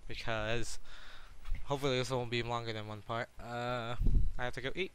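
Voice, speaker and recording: A man rambling through casual gameplay commentary, cracking self-deprecating jokes. His voice is bright and forceful at middle pitch, with faint noise behind. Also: little pitch movement, moderate pace. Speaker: let's play gamer.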